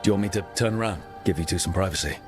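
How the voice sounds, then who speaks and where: A man playing an easygoing, encouraging, gently teasing adventuring hero in a video game, speaking naturally. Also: low-pitched, rapid-fire, lightly acted. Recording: game music behind the voice. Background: game music.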